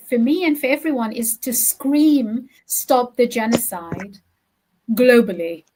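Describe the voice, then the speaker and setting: A woman with a slightly high voice answers interview questions as a poet. Arabic is her first language. She talks in a measured, earnest way and leans hard on key points. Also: a little mellow, a little hiss or hum, rough recording. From Gaza, Palestine. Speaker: poet, guest.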